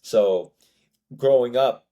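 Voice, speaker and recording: A man's voice: mid-range voice, slow pace, mellow. A man with an American accent, hosting a casual interview and telling his story with lots of restarts and fillers. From United States, born in Korea. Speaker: podcast host.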